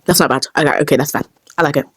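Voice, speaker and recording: A woman's voice: deep voice, rapid-fire, breathy edge, dry recording. A woman giving casual behind-the-scenes commentary, muttering asides to herself as she works. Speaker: amateur voice actor and vlogger.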